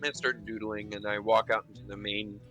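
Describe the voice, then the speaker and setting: A man playing a tabletop role-playing game, casually and haltingly narrating what his character does as he improvises. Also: lowish voice, level tone, bright, forceful tone, faint music behind. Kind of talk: improvised roleplay, describing his character's actions.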